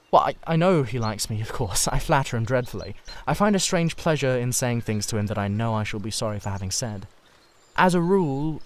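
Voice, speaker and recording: A man voicing a sensitive, earnest Victorian gentleman painter in a dramatised novel reading, heartfelt, hesitant and pleading. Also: medium pitch, lightly acted.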